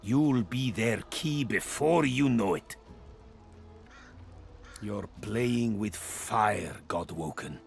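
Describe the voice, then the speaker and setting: Low, gravelly, ominous adviser. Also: male voice, soft background music, heavily acted. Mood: ominous warning. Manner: measured, ominous, dryly disapproving. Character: wary, grave man, cryptic fantasy adviser. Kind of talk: video game character acting.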